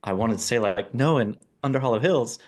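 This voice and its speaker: A man's voice: mid-range voice, animated, a little fast, a little mellow. A man co-hosting a podcast, musing aloud as he builds up long questions for his guests. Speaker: co-host. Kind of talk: casual podcast chat.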